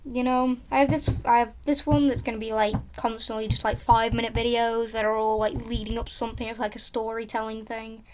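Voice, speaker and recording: A woman with a high voice talks through her gameplay, chatty and wandering from one topic to the next. Her pitch sits high but stays fairly level, without much rise and fall. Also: little pitch movement, a little fast, hardly any pauses, a little mellow, a little hiss or hum. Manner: rambling and chatty, drifting off topic. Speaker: gaming YouTuber. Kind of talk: casual commentary while playing a game.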